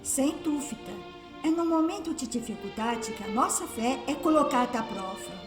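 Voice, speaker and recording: A woman's voice: high voice, lively intonation, normal speed. An actress playing a serene, wise female spirit benefactor in a radio drama, speaking solemnly and instructively with a Brazilian accent. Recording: echoey, distant voice under music.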